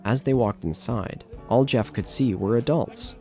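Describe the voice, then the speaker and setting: A man's voice: medium pitch, unhurried, mellow, faint music behind. A man narrating a scripted horror story.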